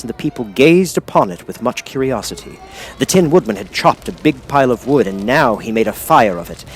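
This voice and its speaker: A man reading a children's story as scripted audiobook narration. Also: mid-range voice. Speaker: audiobook reader.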